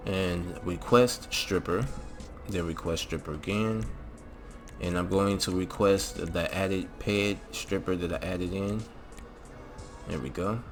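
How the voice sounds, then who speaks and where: Casting: male gaming channel host, low voice, slangy and laid-back walkthrough commentary, music underneath. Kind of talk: casual video game mod tutorial commentary. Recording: music behind the voice.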